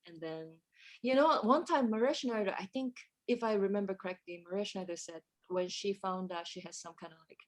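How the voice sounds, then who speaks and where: A woman's voice: mid-range voice, fairly quick, rough recording. A woman composer from Korea who speaks English, reflecting thoughtfully in an interview and often searching for her words. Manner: reflective, searching for words, many fillers and restarts. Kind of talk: casual conversational interview.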